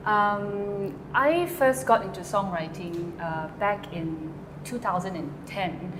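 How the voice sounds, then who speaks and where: A woman's voice: mid-range voice, slow, echoey room, noisy background. A woman, a songwriter answering interview questions hesitantly, with many fillers and restarts. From Malaysia. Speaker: guest songwriter.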